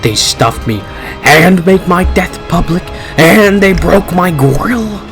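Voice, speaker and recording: A man's voice: high-pitched, lively intonation, takes it slowly, over music, pitched above the performer's own voice, exaggerated voice. A man acting a brash giant wolf-dog god. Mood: angry, indignant. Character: giant male wolf-dog god, cocky and hot-tempered.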